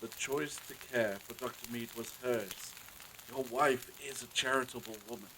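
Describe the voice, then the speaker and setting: Man playing devout village gentleman, medium pitch, formal, measured, gently earnest, period audio drama, slight background noise. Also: fairly even intonation, lightly acted, rough recording. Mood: pious, solemn. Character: pious, genteel village gentleman, older father, gently earnest.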